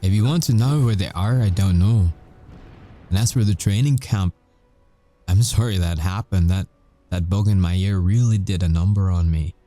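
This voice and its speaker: Casting: male actor for cocky, haunted werewolf soldier in audio roleplay. Deep, very soft-toned voice, wry measured storytelling. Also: close to an everyday voice. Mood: bitter, weary. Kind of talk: audio roleplay monologue, ASMR.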